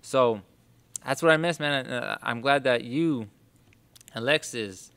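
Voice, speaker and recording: A man's voice: mid-range voice, slow pace, creaky, a bit bright, clear, resonant tone. A man interviewing a boxer in a chatty, enthusiastic manner, laughing often. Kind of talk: casual interview chat.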